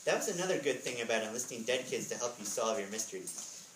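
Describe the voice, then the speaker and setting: A man's voice: mid-range voice, animated, fast, fairly bright tone. A man reading a story aloud as an author at a readers theatre, with casual asides. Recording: echoey room, distant mic, background noise.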